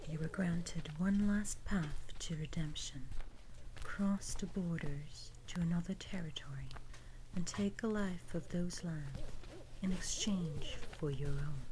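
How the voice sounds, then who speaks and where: A woman voicing a cold, imperious vampire mistress, whispering slow, stern commands in an ASMR roleplay. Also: fairly low pitch, noisy background.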